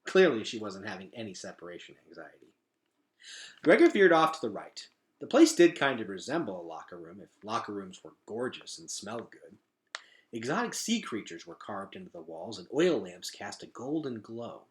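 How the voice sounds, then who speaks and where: A man reading a children's story aloud as a teacher, with expressive, dramatic emphasis. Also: fairly low pitch, moderate pace.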